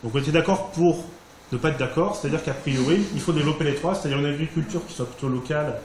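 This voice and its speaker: A man's voice: on the high side, fast talker, darkish tone. French man presenting in an echoey room. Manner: thinking aloud, many fillers and restarts. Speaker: workshop participant presenting group results. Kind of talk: informal presentation. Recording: echoey room, faint background hiss.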